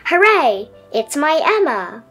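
Woman reading as a high-voiced, dreamy little turtle. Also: slow, faint music behind, full character voice. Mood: earnest, amazed. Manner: expressive storybook first-person telling. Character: dreamy, adventurous little pet turtle, earnest and easily amazed.

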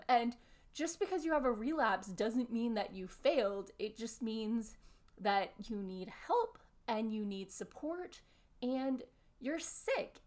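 A woman in her thirties speaks in a fairly high voice. She presents to camera from a room at home, laying out her points in an explanatory way. Her delivery is earnest, with clear emphasis on the points she makes. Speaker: video presenter summarizing a discussion panel. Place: small furnished room at home, indoors.

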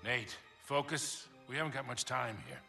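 An actor playing a wry, impatient American mentor in his sixties with a gravelly voice, in a video game scene. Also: mid-range voice, normal speed, bright, forceful tone, soft background music. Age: 60s. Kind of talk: video game cutscene acting.

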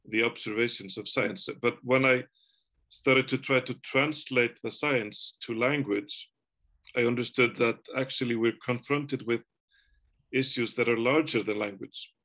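A man in his forties, a native Icelandic speaker, talking on a video call from a room at home, thoughtful and halting with many restarts. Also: mid-range voice, fairly even intonation, a bit bright. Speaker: author. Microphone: video call webcam.

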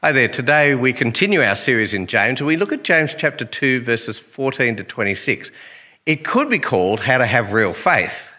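A man's voice: medium pitch, lively intonation, normal speed, bright, forceful tone. A man in his sixties with an Australian accent, giving a conversational devotion in a church. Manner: conversational, gestures with both hands. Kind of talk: spoken sermon. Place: church sanctuary with flowers.

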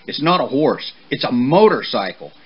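Stern storybook father horse, voiced by a man, forceful and threatening, raised above a medium pitch, slightly dull recording. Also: sing-song, normal speed, husky. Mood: angry, scolding. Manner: forceful, commanding, menacing. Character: stern, angry father horse scolding his colt. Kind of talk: children's storybook character voice.

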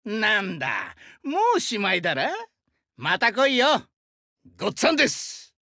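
A male actor voicing a burly, friendly young sumo-wrestler god in an anime game, with rough, hearty speech and a rural Shinshu Japanese dialect. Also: sits high, sing-song, normal speed, pushed and bright, no room sound, clean studio sound, exaggerated voice. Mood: fierce, battle-ready, then plainspoken. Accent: rural central Japanese dialect (Shinshu). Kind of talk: anime game character voice lines.

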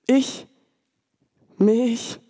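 A young woman performing her own poem at a poetry slam on a dark stage, delivered rhythmically and ironically into a stand microphone. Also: fairly high pitch, steady tone, drawn-out words, long reverb tail. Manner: rhythmic, ironic, rising to intense. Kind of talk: spoken word performance.

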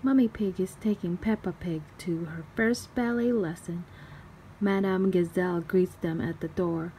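A woman's voice: lowish voice, a little slow, a little hiss or hum, poor audio. A woman reading a children's storybook aloud expressively as a storytime host, acting out the dialogue. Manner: expressive, acts out dialogue and sound effects.

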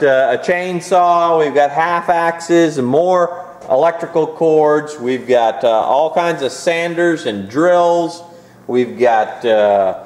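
A man in his thirties walking through an auction barn and listing the tools for sale, one item after another with frequent "uh" pauses. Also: fairly high pitch. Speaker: auctioneer previewing auction items. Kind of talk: walkthrough listing items for sale. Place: large indoor auction barn full of tools. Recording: echoey big barn.

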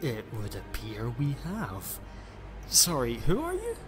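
Man as a quirky chatty time traveller, self-deprecating, lively pitch, long reverb, music behind. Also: takes it slowly. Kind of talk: fan audio drama acting.

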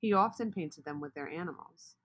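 A woman reading a children's picture book aloud, expressive and asking the listener questions. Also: lowish voice, brisk. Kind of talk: read-aloud storybook narration.

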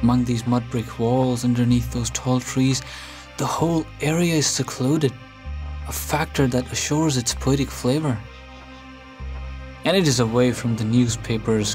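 A man playing a solitary, brooding recluse in a radio drama, speaking formally and bluntly in measured phrases. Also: mid-range voice, a little mellow, lightly acted. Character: solitary, brooding man who shuns modern life.

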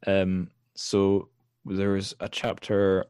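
A man's voice: low voice, completely flat delivery, slow pace, darkish tone, smooth, no room sound, studio quality. A man hosting a podcast, talking casually about literature and thinking aloud as he goes. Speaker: podcast host.

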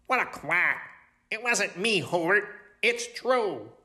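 Indignant woodland animal for a picture book, male performer: bright, high-pitched, measured, protesting delivery. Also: lively intonation, heavily acted. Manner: protesting, theatrical. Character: indignant accused woodland animal pleading innocence. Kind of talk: children's storybook character voice.

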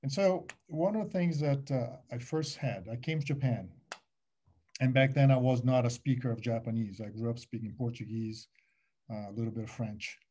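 Middle-aged man, warm, mellow tone, loose panel-talk delivery full of "you know", webcam audio. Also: mid-range voice, normal speed. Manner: animated, gesturing, restarts and many fillers. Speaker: alumni panelist. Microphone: laptop webcam on a video call.

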